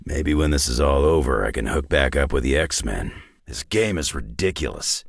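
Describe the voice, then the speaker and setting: A man playing a gruff, world-weary, sardonic middle-aged superhero brawler in a video game, with a raspy growl and a blunt, wry drawl. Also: a bass voice, expressive, normal speed, no room sound, clean studio sound, full character voice. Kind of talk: video game character voice lines.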